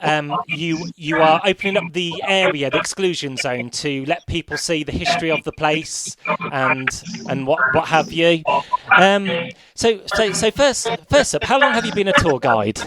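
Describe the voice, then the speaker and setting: A man conducting a casual radio interview, asking his questions in a hesitant, halting way. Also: on the high side, rough recording. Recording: busy crowd chatter behind. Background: crowd noise.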